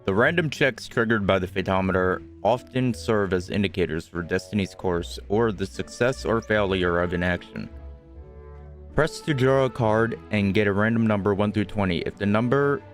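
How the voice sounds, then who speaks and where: A man's voice: lowish voice, moderate pace. A man streaming a game, giving casual, short, laid-back reactions and reading game text aloud. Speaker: gaming streamer. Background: faint game music.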